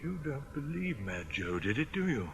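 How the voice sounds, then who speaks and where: A man's voice: mid-range voice, sing-song, moderate pace, gravelly, background noise. An actor playing an earnest, anxious village clergyman in a British radio drama, speaking formally and insistently. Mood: guardedly uneasy.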